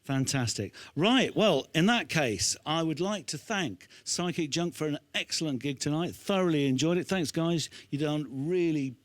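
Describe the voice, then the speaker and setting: Male host, 50s, slightly high voice, chatty and encouraging, close dry handheld mic sound. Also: lively intonation, normal speed, hardly any pauses, no echo. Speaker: host interviewing a young band. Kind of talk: casual on-stage interview. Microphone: handheld microphone.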